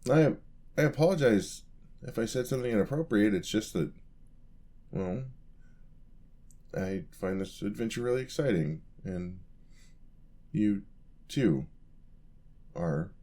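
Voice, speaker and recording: A man's voice: on the low side, expressive, unhurried, pauses often, mellow. A man playing an eager, charming young apprentice paladin in a fantasy ASMR roleplay, talking to the listener in a polite, easily flustered way. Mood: nervous, bashful. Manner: polite, flustered, hesitant, chatty.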